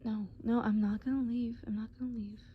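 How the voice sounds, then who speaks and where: A woman voicing a tender, worried boyfriend in a comfort roleplay, breathy and often whispering, with halting, soothing speech. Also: a little high, flat delivery, highs cut off, slight background noise, pitched above the performer's own voice, lightly acted. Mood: worried, gentle. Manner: soothing, halting, pet names.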